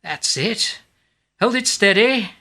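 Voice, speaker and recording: A man's voice: high voice, expressive, takes it slowly, sharp tone, heavily acted. A man playing a modest, genial, wry English playwright in a radio play. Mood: hurried, excited. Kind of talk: radio drama acting and reminiscence.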